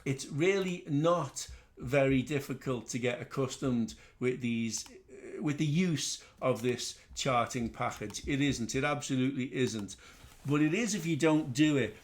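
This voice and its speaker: A man's voice: mid-range voice, unhurried. A middle-aged man with a Liverpool accent, hosting a casual trading webinar in a chatty, rambling way with self-deprecating asides. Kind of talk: casual live webinar teaching.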